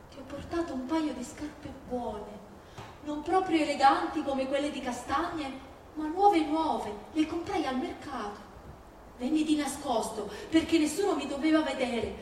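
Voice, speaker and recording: A woman acting a fierce, grieving older Sicilian mother in an emphatic, plaintive stage monologue, with a Sicilian accent. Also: high voice. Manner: emphatic, plaintive, repeating phrases. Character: fierce, grieving Sicilian mother disowning her criminal son. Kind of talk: dramatic theatrical monologue on stage. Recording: very echoey hall from far, faint noise.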